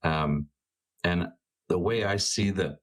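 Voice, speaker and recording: A man's voice: deep voice, slow pace. A man playing a tabletop game, casually and tentatively reasoning aloud about the characters. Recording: soft music behind the voice. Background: music.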